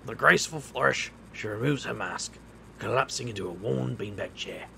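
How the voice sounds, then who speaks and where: A man's voice: medium pitch, normal speed, vocal fry, sharp tone, a little hiss or hum. An actor playing a wry, defiant male street magician, narrating his noir tale in a sardonic, dramatic manner. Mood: wry storytelling. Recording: music behind the voice.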